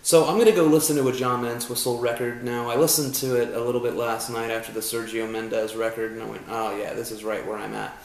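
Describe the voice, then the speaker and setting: A man's voice: mid-range voice, fairly even intonation, quick pace, creaky, hardly any pauses, darkish tone. Joking young man in an echoey room. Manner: joking, self-deprecating, profane asides. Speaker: musician vlogging his home recording. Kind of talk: casual chat. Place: home room.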